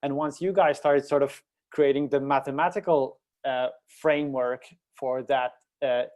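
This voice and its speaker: A man of average pitch talks in a casual way about technical teamwork. He is reflective, feeling his way toward the right words as he speaks. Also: steady tone, unhurried, a little mellow. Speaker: project team member in a panel discussion.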